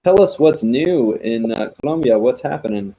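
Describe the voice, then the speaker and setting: A man's voice: mid-range voice, lively intonation, normal speed, warm tone, low-quality recording. A man co-hosting a casual live chat, friendly and welcoming to guests. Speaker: co-host.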